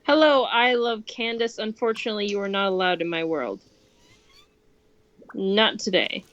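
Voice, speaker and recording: A woman's voice: medium pitch, normal speed, fairly bright tone, dry recording, lo-fi sound. A young woman streaming a game, chatting casually and exclaiming readily as she reacts to play. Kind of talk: casual co-op gameplay chat.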